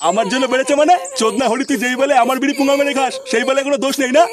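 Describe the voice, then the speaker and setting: A man's voice: very high voice, steady tone, rapid-fire, crowd noise. A man voicing a cranky, foul-mouthed grandfather who scolds and grumbles in an amateur comedy cartoon. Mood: scolding.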